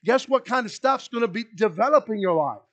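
A middle-aged man with a Kentucky accent preaches in a small church hall, pacing the low stage with a handheld mic. His voice sits high for a man, and he speaks in an animated way, often circling back to repeat his words. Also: a little fast. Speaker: pastor. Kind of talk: lively sermon. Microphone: handheld mic, filmed by a far wide camera.

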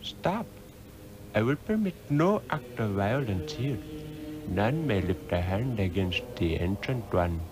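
An actor playing an ancient, stern Tibetan sorcerer in a solemn, measured, oracular way in a 1960s radio drama. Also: male voice, fairly low pitch, very animated, poor audio. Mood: commanding. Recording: old radio recording with music.

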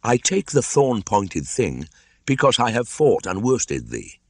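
A man's voice: fairly low pitch, very animated, a little slow, no room sound, clean studio sound, pitched above the performer's own voice, heavily acted. A man voicing an earnest young jungle boy, speaking in a raised, eager voice. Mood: firm.

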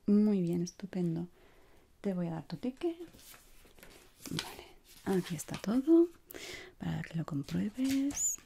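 Female, thirties, Spain accent, hushed ASMR whisper and murmur, dark gentle tone, noticeable background noise. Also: medium pitch, lively intonation, takes it slowly, creaky, long pauses. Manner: soft, soothing whispering and murmuring. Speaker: ASMR creator playing a shoe shop assistant. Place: small indoor room.

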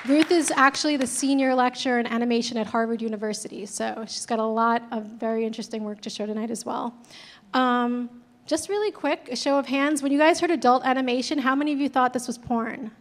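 A woman's voice: fairly high pitch, fairly even intonation, a little fast. A woman moderating a live panel, introducing each guest in a chatty, casual way with blunt jokes. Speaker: event host, moderator. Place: gallery stage before a large audience. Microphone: handheld mic.

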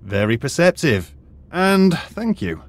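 An actor playing a wry, formal British archaeologist who now teaches high school history, in an audio drama. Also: male voice, sing-song, takes it slowly, heavily acted.

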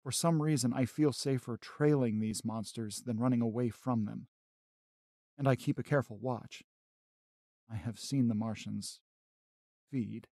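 A man's voice: mid-range voice, warm tone, no room sound. Man acting a haunted, brooding professor, dry sound. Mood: wary resolve. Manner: brooding, deliberate, theatrical. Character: haunted male astronomy professor, lonely survivor of invasion. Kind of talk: dramatic first-person journal narration.

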